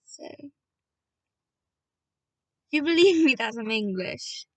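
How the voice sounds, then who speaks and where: Bright-voiced chatty woman reading aloud. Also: a little high, expressive, takes it slowly, dry recording, far from the mic. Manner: chatty, self-interrupting, playful with voices. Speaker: audiobook reader. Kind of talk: casual audiobook reading.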